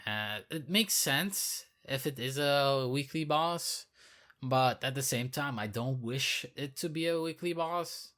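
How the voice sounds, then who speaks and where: A hoarse man who is losing his voice, giving casual, rambling commentary on a game update as a video creator. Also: mid-range voice, sharp tone. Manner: rambling, filler-heavy, repeats himself.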